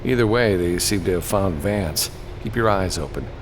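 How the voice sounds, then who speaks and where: A man voicing a gruff, terse freelance gunman in an audiobook, commanding and matter-of-fact. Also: low voice, animated, normal speed, long reverb tail, noisy background. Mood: terse, alert. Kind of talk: audiobook character dialogue.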